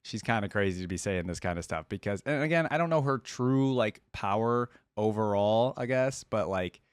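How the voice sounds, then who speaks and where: A man giving casual reaction commentary, musing and thinking aloud in a halting way. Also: fairly low pitch, lively intonation, clean tone, no room sound, clean studio sound. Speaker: reaction video host.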